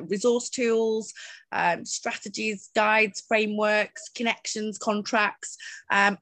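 A woman, a podcast guest telling her story in a chatty, animated way. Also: mid-range voice, no echo. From Greater Manchester, England. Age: 30s. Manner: chatty, animated, with frequent restarts. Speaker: podcast guest, startup founder.

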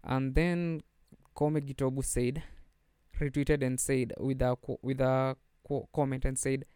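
Young Kenyan male podcast host, laid-back code-switching chat, mellow, fairly flat delivery, dry close mic. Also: mid-range voice, unhurried, smooth, no room sound. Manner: mixes English and Swahili slang, chatty and animated. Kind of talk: casual solo podcast chat.

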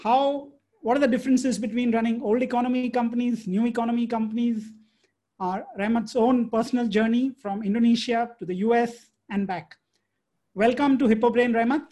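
A man's voice: very high-pitched, fairly even intonation, a little fast, dark tone. A man hosting a podcast, introducing a guest and asking questions in a friendly, filler-laden way. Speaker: podcast host.

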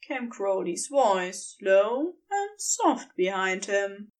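A woman narrating scripted fiction in an expressive, dramatic storytelling manner. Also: medium pitch, takes it slowly.

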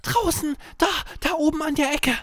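A man voicing a small, excitable, wide-eyed boy in a children's bedtime story. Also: very high voice, normal speed, pitched above the performer's own voice. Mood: startled.

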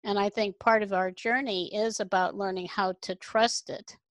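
A woman hosting an interview, explaining ideas in a reflective, measured way. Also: medium pitch, fairly even intonation, no room sound. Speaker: host.

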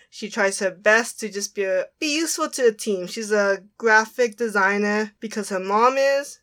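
A woman's voice: medium pitch, fairly bright tone. A young woman co-hosting a casual podcast, earnestly explaining her ranked list with some dry teasing. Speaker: podcast co-host.

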